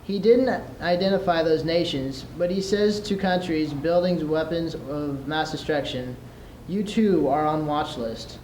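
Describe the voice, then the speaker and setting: A man's voice: fairly high pitch, a little slow, darkish tone. A young man in his teens delivering scripted radio news as a student competitor. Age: teenager. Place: large room at a school event. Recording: echoey, noisy live room recording.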